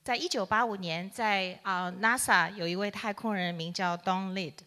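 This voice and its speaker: Bright-voiced woman interpreting a sermon. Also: medium pitch, fairly even intonation, normal speed. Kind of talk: live consecutive interpreting in short phrases.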